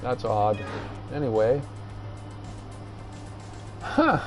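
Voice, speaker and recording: Slow-talking man rambling over a video game. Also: medium pitch, wildly swooping pitch, over music. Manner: halting, thinking aloud while reading menus. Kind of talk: casual rambling gameplay commentary.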